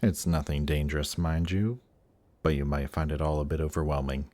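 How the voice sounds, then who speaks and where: A man playing a suave demon prince in an intimate audio roleplay, speaking in a slow, seductive, gently coaxing way. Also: very deep voice, steady tone, no room sound. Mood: suave, teasing. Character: suave demon prince of Hell, tempting and reassuring. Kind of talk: intimate audio roleplay, scripted monologue to listener.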